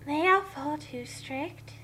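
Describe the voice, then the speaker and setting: A high, bright storybook girl, acted by a woman, drowsy yet confident. She instructs in a casual, self-righteous way, entirely sure of her creed. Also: takes it slowly, exaggerated voice, rough recording.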